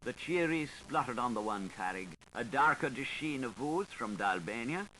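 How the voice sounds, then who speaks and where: A man reads literary fiction with theatrical relish, his mid-range voice rising and falling freely. His phrasing is deliberate and playful, broken by dramatic pauses. The 1980s audiobook recording carries a faint hiss. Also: mid-range voice, expressive, pushed and bright, rough recording. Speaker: audiobook narrator.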